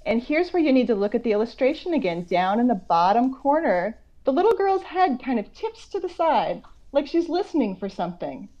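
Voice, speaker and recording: A woman author reading a picture book aloud to children, going between slow measured reading and conversational explanatory asides. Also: fairly high pitch, very animated, dark tone. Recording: dull, lo-fi online call audio.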